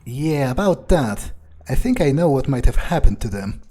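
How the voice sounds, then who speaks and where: Man playing a husky, dark-toned cocky vampire. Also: mid-range voice, big pitch swings, moderate pace. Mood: blunt, scornful. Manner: hesitant, drawling, swearing. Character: cocky, crude, sadistic male vampire, relishing the night's violence. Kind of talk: in-character roleplay of a video game.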